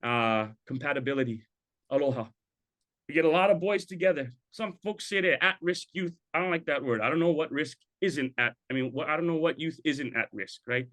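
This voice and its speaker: A man's voice: fairly high pitch, brisk, fairly bright tone. Man presenting over a video call. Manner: conversational, tag questions, sprinkles in Hawaiian words. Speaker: program presenter. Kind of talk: presenting a talk. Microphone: video call webcam.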